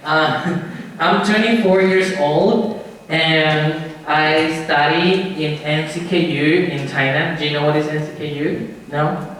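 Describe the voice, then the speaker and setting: A young man addresses a class in a loose, joking way, often checking that the students follow him. His voice sits a little high. It comes from across a very echoey classroom with faint noise behind it. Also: fairly even intonation, slow pace, a bit bright, far from the mic. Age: 20s. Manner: casual, joking, checks in with the audience. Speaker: guest presenter.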